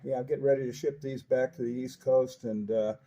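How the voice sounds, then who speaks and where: Older American male, folksy CB operator, relaxed mellow tone, chatty jargon-heavy delivery, muffled lo-fi sound. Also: medium pitch, a little fast. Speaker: CB radio operator and radio restorer. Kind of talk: casual radio check-in chat using CB jargon. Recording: dull, lo-fi audio.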